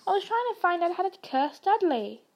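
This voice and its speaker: A woman's voice: extremely high pitch, big pitch swings, a little fast, a little mellow, pitched above the performer's own voice, exaggerated voice. Curious, unsure young boy wizard, read by a woman; earnest, questioning.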